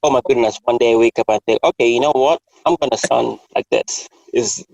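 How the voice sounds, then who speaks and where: A man hosts a casual conversation in an easy conversational register. His voice has a gravelly, creaky edge at a middling pitch, and it sounds close and dry, with no room around it. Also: a little fast, darkish tone, no room sound, highs cut off. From Kenya. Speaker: host. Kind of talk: casual discussion.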